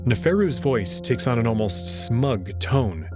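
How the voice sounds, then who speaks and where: A man's voice: mid-range voice, expressive, a little slow, music in the background. A man reading a first-person story as narrator in a dramatic storytelling style. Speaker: narrator and reader.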